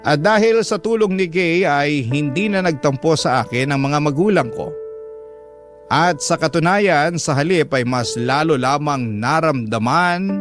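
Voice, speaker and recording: A man with a Filipino accent giving a dramatic first-person narration of a radio story. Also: fairly high pitch. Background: background music.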